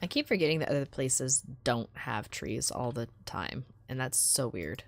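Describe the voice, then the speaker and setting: A woman's voice: a bass voice, lively intonation, normal speed, gravelly, no echo. A woman from California chatting casually on a stream, playful and curious, laughing often.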